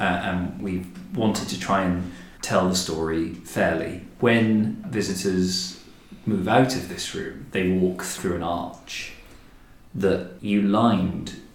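A man hosting a podcast in a measured, articulate, deliberate and descriptive way. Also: deep voice. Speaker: podcast host, director of a historic house. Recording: roomy, echoing indoor sound.

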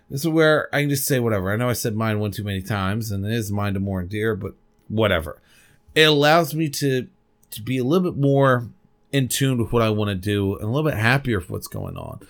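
A man's voice: medium pitch, expressive, brisk, a bit bright. A man hosting his own solo podcast, talking at a desk microphone in a rambling, candid and passionate way, with casual swearing. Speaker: podcast host. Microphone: desk microphone at a computer.

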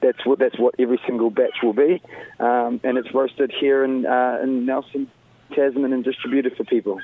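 A man's voice: medium pitch, level tone, normal speed, no room sound. Man on a tinny phone line. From Sierra Leone. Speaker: caller. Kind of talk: phone interview. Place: at a hockey game.

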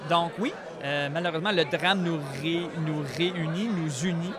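Man, high voice, casual reflective guest, crowd noise behind. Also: fairly even intonation, moderate pace. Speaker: interviewee. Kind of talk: casual reflective interview talk. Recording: busy crowd noise around.

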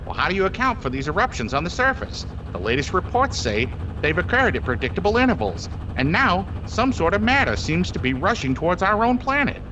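A man's voice: high-pitched, big pitch swings, a little fast, few pauses, highs cut off, background noise. A man playing an earnest, florid field reporter in a 1930s radio drama spoof, with grandiloquent, formal delivery.

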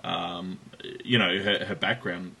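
An Australian man co-hosting a sports podcast, chatting casually with wry jokes and halting, self-interrupting phrasing. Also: low voice, little pitch movement, gravelly, sharp tone, slight background noise. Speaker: cycling podcast co-host. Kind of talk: casual unscripted chat about sport.

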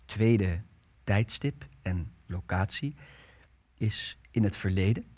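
A young man narrating a radio play, reading out the cast and stage directions in a measured, deliberate way. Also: lowish voice, a little mellow.

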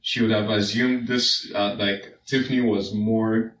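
Lowish voice man from nigeria, hesitant, lots of fillers, kinda far off and echoey. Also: steady tone, unhurried, fairly bright tone. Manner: hesitant, thinking aloud with fillers. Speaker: podcast guest. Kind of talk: casual chat. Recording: echoey room, away from the mic.

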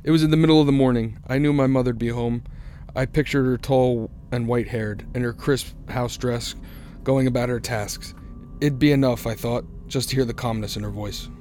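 A man's voice: medium pitch, steady tone, normal speed, warm tone, soft background music, lightly acted. An actor playing a frightened, haunted man on a road trip who stammers anxiously as he tells his story in a radio drama. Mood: anxious, hopeful. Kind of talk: radio drama first-person narration and acting. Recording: noisy radio drama recording, vehicle ambience.

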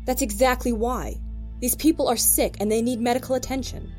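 A woman playing a brisk, commanding federal agent in an audio drama. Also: fairly high pitch, steady tone, mellow, soft background music, close to an everyday voice. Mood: stern. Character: firm, take-charge female federal agent.

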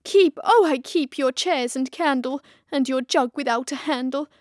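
A woman's voice: high voice, normal speed, a little mellow, no echo, clean studio sound, natural voice. A woman playing a sad, regretful married lady, declaiming plaintively in storybook verse.

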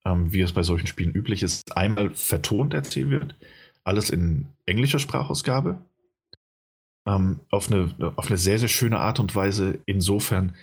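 A man's voice: low voice, expressive, normal speed, creaky, husky. A man chatting casually about a game he is playing, rambling with many fillers and restarts. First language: German. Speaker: game reviewer. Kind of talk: casual podcast chat.

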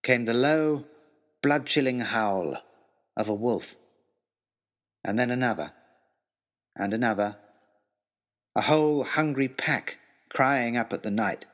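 A man narrating a first-person adventure story in an expressive, theatrical way. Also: mid-range voice, slow, pauses often.

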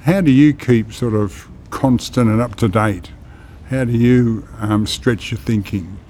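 Male podcast host, mid pitch, relaxed questioning, faint ambience. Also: a little slow, a little hiss or hum. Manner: conversational, prompting with short murmurs of agreement. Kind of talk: podcast interview.

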